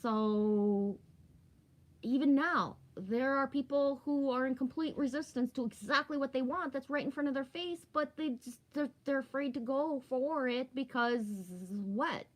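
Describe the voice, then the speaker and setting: A woman's voice: sits high, a little slow. A woman of about fifty chatting casually as a livestream host, rambling and emphatic, candid, often tagging her points with a questioning check. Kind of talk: casual spiritual livestream chat.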